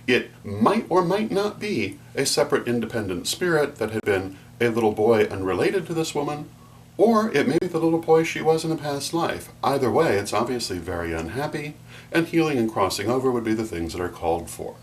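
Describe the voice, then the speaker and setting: A middle-aged man giving a formal, measured explanation to camera as a vlog host. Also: medium pitch, very animated. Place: small furnished room at home, indoors. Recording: distant mic, faint hum.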